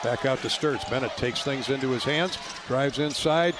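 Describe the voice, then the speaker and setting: A man's voice: mid-range voice, normal speed. A man doing live radio play-by-play of a basketball game in a folksy, colorful way. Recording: loud arena noise behind courtside radio call. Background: ball bounces and gym ambience.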